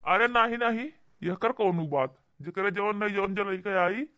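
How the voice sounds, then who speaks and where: Man playing an earnest cartoon husband, Awadhi accent, complaining, pleading, high and bright. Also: normal speed. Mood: upset. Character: aggrieved village husband, earnest defender of his wife. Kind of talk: amateur comedy cartoon dialogue.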